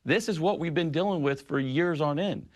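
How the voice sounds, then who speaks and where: A man's voice: fairly high pitch, moderate pace. A middle-aged man speaking as a union president in a news interview, making his case with firm, emphatic conviction. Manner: impassioned, emphatic advocacy.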